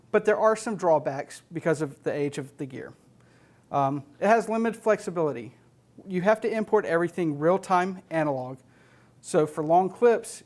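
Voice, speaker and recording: Higher-pitched American man giving a tech presentation. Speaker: audio technician presenter. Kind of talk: instructional software presentation. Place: indoor studio stage with LED wall.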